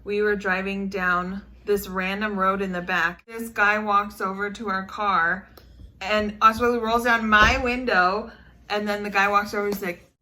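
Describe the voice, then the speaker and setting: A woman's voice: mid-range voice, fairly even intonation, measured pace, bright, forceful tone. A young woman with an American accent chatting and telling casual stories in a playful, teasing way. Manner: playful, teasing, laughs often. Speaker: vlogger telling dating stories with partner. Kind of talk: casual storytelling chat.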